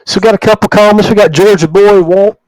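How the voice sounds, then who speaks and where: A man's voice: high-pitched, fairly even intonation, fast, darkish tone, dry recording, rough recording. A man hosting a livestream podcast over a video call, chatting informally and chummily with his guests. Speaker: podcast host. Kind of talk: casual livestream podcast chat.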